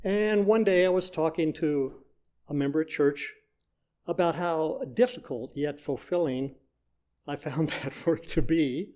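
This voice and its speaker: An older man giving a prepared personal talk to a church congregation, telling his story in a measured way as a fellow member. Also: fairly high pitch, mellow.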